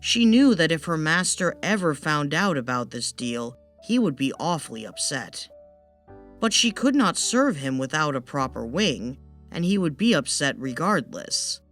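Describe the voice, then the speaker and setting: A woman's voice: low voice, very animated, a little slow, a bit bright, faint music behind. A woman narrating a scripted fairytale as a storyteller.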